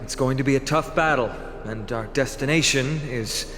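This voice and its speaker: A man's voice: medium pitch, normal speed, lightly acted. A man playing an earnest, loyal young hero in video game dialogue, speaking sincerely and conversationally. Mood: earnest, thoughtful. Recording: reverberant, music behind.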